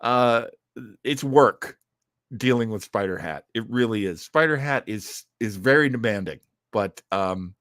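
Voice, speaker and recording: A podcast host with very lively pitch and an unhurried pace, recorded close and dry. The delivery is casual, effusive and playful, with a theatrical streak. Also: dry recording. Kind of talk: casual livestream chat.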